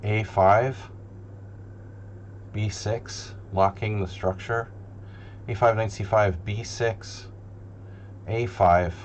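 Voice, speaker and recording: A man with a slightly low voice talks very slowly as he thinks aloud over a chess game for a stream. His commentary is casual and deliberating, often muttered to himself. The sound is dull, with a loud hum underneath. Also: fairly even intonation.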